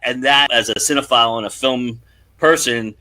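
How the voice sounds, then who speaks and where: A man's voice: medium pitch, fairly even intonation, normal speed, bright, forceful tone, poor audio. A man hosting a conversational interview, thinking aloud in long, reflective points. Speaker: interview host and filmmaker.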